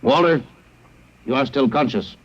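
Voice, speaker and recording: An actor playing an emotionless alien captor in an old radio drama, speaking in formal, stilted, measured phrases. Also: male voice, medium pitch, close to an everyday voice. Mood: detached, insistent. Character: coldly logical male alien captor interrogating a human. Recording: muffled vintage radio drama recording.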